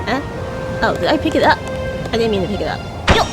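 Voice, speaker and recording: A woman's voice: a little high, animated, fairly quick. A woman hosting a gaming video with casual commentary as she plays. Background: loud music.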